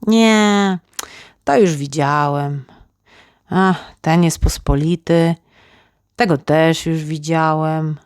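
A woman's voice: low voice, fairly even intonation, slow, pauses often, a little mellow, clean tone, dry recording, clean studio sound. A woman voicing a kindly but picky male painter in a storybook, musing and exclaiming to himself.

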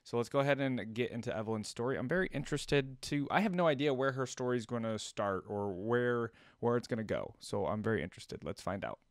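A man's voice: mid-range voice, animated, fast, few pauses, dry recording, studio quality. A man in his twenties hosting a casual reaction video with off-the-cuff commentary. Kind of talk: casual reaction commentary, sometimes reading cards aloud.

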